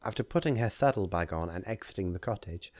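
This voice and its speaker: A man reading a story aloud as narrator, in an expressive scripted storytelling style. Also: deep voice, fast talker, no echo, clean studio sound. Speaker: narrator, fan fiction reader.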